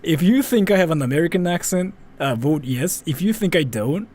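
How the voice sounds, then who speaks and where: A man from Japan hosting a livestream, chatting casually as he reads viewer comments, animated and defensive, with lots of restarts. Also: fairly high pitch, fairly quick. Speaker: livestream host.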